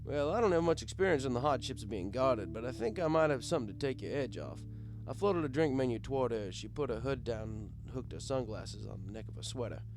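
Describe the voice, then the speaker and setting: A man narrating a comic first-person story in a wry, expressive, conversational storyteller's way. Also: mid-range voice, fast talker, noisy background. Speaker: audiobook narrator.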